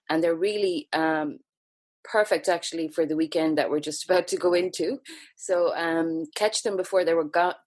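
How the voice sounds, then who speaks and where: A woman with an Irish accent, talking casually as a podcast host. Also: low-pitched. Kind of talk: casual interview hosting.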